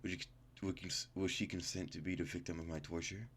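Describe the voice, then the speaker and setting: A man's voice: low-pitched, fairly even intonation, fairly quick, a little hiss or hum. A young man hosting a gaming video, casually reading game text aloud and commenting in slangy, informal speech, stumbling over words as he reads.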